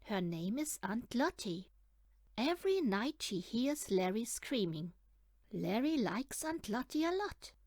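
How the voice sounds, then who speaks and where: A woman reading a children's picture book aloud as an audiobook, in an expressive, deliberate storytelling manner. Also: a little high, no echo, studio quality. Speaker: audiobook reader.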